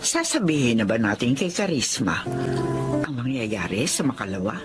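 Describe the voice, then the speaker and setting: Kindly mother, lively intonation. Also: a bass voice, moderate pace, over loud music. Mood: pleased. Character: kindly, compassionate adoptive mother. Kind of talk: radio drama acting.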